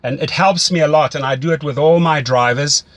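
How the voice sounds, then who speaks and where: A man's voice: fairly high pitch, brisk, no room sound. A man mentoring a driver in a conversational, instructive way, often repeating phrases for emphasis. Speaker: rideshare driving coach.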